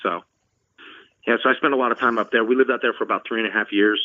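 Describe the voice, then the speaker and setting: Man, middle-aged, podcast host, from Los Angeles, chatty and earnest, average pitch, narrow phone-like sound. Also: steady tone, fast talker, no echo. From Los Angeles, California. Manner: talkative, earnest, repeats words when starting sentences. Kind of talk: casual conversational chat.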